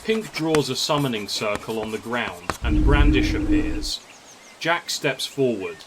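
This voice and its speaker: A man's voice: medium pitch, animated, a little slow, very noisy. A man reading scripted story narration. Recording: music under the voice.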